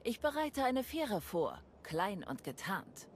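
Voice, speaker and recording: A woman's voice: medium pitch, big pitch swings, takes it slowly, slight background noise. A woman playing a cool, practical adviser in a video game, speaking formally. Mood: composed. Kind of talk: German video game voice acting.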